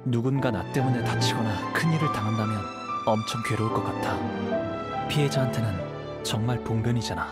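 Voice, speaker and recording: An actor playing a polite, modest young wandering swordsman in an anime game, speaking courteously and in measured phrases over music. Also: medium pitch, natural voice. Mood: thoughtful, concerned. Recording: music behind the voice.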